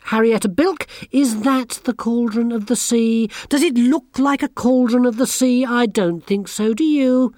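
A woman's voice: a little high, measured pace, hardly any pauses, darkish tone, no echo, clean studio sound, pitched above the performer's own voice, lightly acted. A woman voicing a haughty, bossy teenage girl witch who talks down to everyone in a sneering, imperious way.

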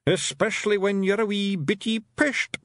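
A man's voice: high voice, steady tone, normal speed, fairly bright tone, dry recording, studio quality, pitched above the performer's own voice. A man voicing a cheeky, slightly tipsy little male pixie with a Scottish accent. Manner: cheeky, blurting.

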